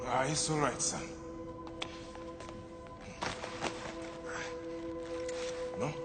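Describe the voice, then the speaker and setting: A man's voice: medium pitch, quick pace, roomy sound, in a large hall, lightly acted. A man playing a fierce, protective father and lawman in an ancient Egyptian game drama, commanding and emotional. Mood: tender, urgent. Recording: game music behind the voice.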